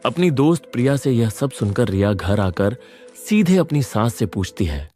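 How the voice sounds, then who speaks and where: A man's voice: medium pitch, very animated, normal speed, mellow, soft background music. A man reading scripted narration for a moral story as its narrator.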